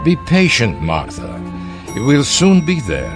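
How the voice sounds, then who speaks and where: An actor playing a frail, stubborn but gentle husband in his seventies in a radio drama, speaking in a halting, courteous way. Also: mid-range voice, huge pitch swings, over music. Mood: weary, reassuring. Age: 70s.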